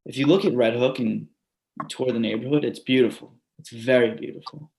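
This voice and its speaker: A man's voice: medium pitch, steady tone, fairly quick, vocal fry, darkish tone, reverberant, lightly acted. A man reading as a lifelong neighborhood resident, talking candidly in a conversational way with "you know" asides, heard over a video call. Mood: earnest. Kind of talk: readers theatre monologue.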